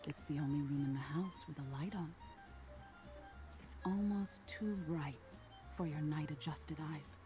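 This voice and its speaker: A woman voicing an eerie nightmare spirit in a video game, telling a soft, ominous tale in a hushed, whispery voice. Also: sits low, music in the background. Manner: slow, soothing, ominous storytelling. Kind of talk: game character narration.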